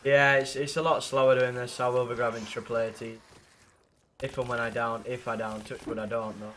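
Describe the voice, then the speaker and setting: A young man with a blocked nose and rough throat, chatting casually and swearing with viewers while playing a game. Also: mid-range voice, little pitch movement, brisk, fairly bright tone, a little hiss or hum. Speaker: livestreamer playing a zombie game.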